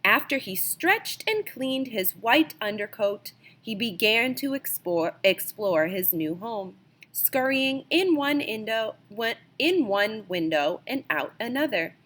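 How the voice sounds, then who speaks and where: A woman reading a children's picture book aloud as an expressive storyteller, with dramatic emphasis. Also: on the high side, takes it slowly. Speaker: storybook reader.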